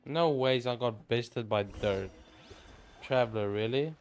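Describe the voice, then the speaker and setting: A man streaming a video game, making casual remarks. His voice sits at an average pitch and he speaks slowly. Faint game music sits behind him. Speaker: gaming streamer. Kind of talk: casual reactions to gameplay.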